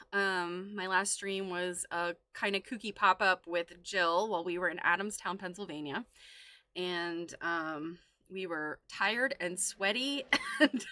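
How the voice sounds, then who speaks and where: A woman's voice: medium pitch, bright, forceful tone, no room sound, studio quality. A woman hosting a livestream in casual, chatty talk, with a playful, giggly manner. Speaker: livestream host.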